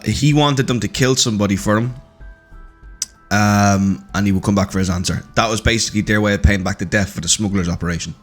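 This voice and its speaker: Hoarse joking man running a game. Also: on the low side, fairly bright tone. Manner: joking, swearing, laughing often. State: hoarse, blew his voice. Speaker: game master. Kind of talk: casual recap of a tabletop campaign.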